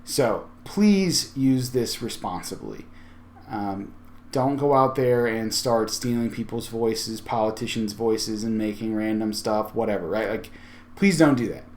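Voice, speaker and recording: A man talking informally through a software tutorial, thinking aloud as he goes. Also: fairly low pitch, measured pace, a little hiss or hum. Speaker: tech tutorial host. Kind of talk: casual screen-share tutorial.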